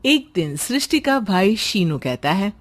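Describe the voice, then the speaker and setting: A woman's voice: mid-range voice, big pitch swings, measured pace. A woman narrating a scripted moral story as a storyteller.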